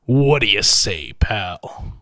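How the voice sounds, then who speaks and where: A man voicing a smug, menacing villain who speaks slowly and deliberately in an audio story. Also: on the low side, very animated, no echo, clean studio sound, full character voice. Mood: coaxing menace. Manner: slow, deliberate, softly threatening. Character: menacing smug villain who collects machines of his victims.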